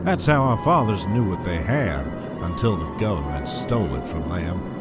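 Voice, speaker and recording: A man's voice: low-pitched, lively intonation, normal speed. An actor playing an earnest, insistent man in an audio drama, dramatic in his delivery. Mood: urgent, earnest. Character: earnest, insistent man sharing a grave secret. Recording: loud music behind the voice.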